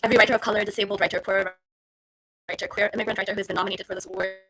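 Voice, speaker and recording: A woman's voice: medium pitch, little pitch movement, racing, bright, forceful tone, breathy edge. A woman from Kenya giving an emotional, tearful acceptance speech as an award-winning writer.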